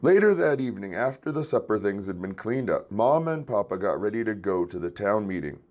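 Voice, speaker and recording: A man reading a children's story aloud in an expressive storytelling style. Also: on the low side, a little fast. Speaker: children's book reader.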